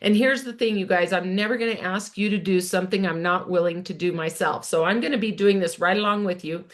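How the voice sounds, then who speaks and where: A woman's voice: medium pitch, fairly quick. A woman in her sixties, a wellness coach, talking casually and encouragingly to viewers, chatty with frequent restarts. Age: 60s. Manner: upbeat and encouraging, addresses viewers as "you guys". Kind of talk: casual direct-to-camera welcome talk.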